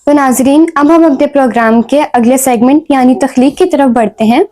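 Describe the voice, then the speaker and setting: A woman's voice: high voice, mellow. A young woman hosting a talk show in a polite, formal presenting style. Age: young adult.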